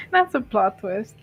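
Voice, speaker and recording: Giggly woman with a gravelly voice. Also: fairly high pitch, narrow, tinny audio. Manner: giggly, wry. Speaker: streamer co-host. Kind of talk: casual stream chat.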